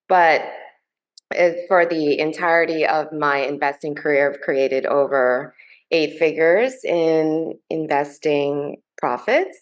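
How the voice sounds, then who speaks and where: Woman from New York City, low-pitched, unhurried, measured. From grew up in New York City. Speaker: panel guest. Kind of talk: casual self-introduction.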